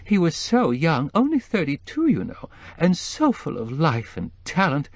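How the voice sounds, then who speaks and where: A man voicing a distraught middle-aged woman who keeps breaking into tears, her voice quavering and climbing high. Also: animated, moderate pace, darkish tone, no echo, lightly acted. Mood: tearful.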